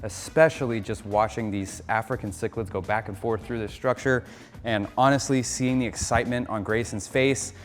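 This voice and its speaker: A man's voice: on the low side, steady tone, normal speed, in a large hall, soft background music. An American man hosting a casual hobby vlog, upbeat and coaching, explaining each step plainly. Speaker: aquarium hobbyist host.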